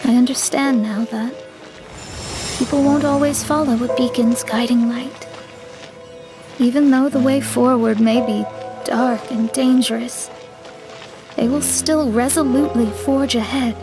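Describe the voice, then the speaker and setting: A woman playing a proud, earnest young female astrologist in a video game, speaking in a measured, articulate, reflective way. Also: fairly high pitch, fairly even intonation, music in the background. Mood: solemn, resolute. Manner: measured, articulate, solemn dramatic delivery. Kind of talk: video game cutscene voice acting.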